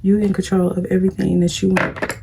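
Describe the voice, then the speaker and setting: A woman's voice: mid-range voice, flat delivery, fairly quick, creaky. A young woman with a scratchy voice, chatting bluntly to viewers while doing her makeup. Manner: blunt, preachy, talks straight to viewers. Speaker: vlogger. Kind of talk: casual get-ready-with-me advice chat.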